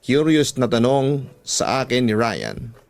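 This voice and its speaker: A man's voice: mid-range voice, sing-song, slow, creaky, darkish tone. A man with a Filipino accent narrating a dramatic first-person story as a radio drama storyteller. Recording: music bed under the voice.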